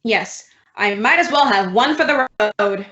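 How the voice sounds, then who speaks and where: A woman playing a wry, quick-witted schoolteacher with dry delivery in an old-time radio comedy. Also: on the high side, lively intonation, sharp tone. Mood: wry, resigned. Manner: dry, wisecracking. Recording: roomy, dull lo-fi audio.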